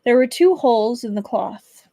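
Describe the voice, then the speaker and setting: A woman livestreaming as a book reader, with expressive storybook narration and casual chat. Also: a little high, normal speed, no room sound.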